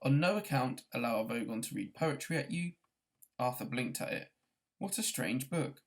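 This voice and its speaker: A man narrates a storybook as an audiobook reader. His pitch is medium and he reads from the script. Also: expressive, normal speed.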